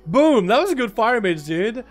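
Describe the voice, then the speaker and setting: A man's voice: very high-pitched, moderate pace, background noise. A man with an American accent, a Russian speaker, hosting a livestream of game duels and chatting with viewers. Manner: excitable play-by-play, shouts at big moments. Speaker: streamer casting game duels. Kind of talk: livestream commentary and chat.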